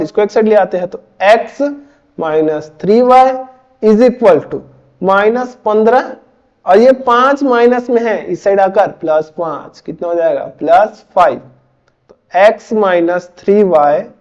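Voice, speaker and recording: A man's voice: sits high, darkish tone, highs cut off. A man in his twenties from Chhattisgarh, India, casually teaching maths step by step, often asking and answering his own questions. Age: 20s. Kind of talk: casual tutorial explaining board exam maths.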